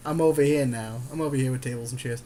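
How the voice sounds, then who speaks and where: A man's voice: medium pitch, fast, dark tone, a little hiss or hum. A man co-hosting a gaming podcast, chatting casually with a friend in a rambling, slangy way with some swearing. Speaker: podcast co-host.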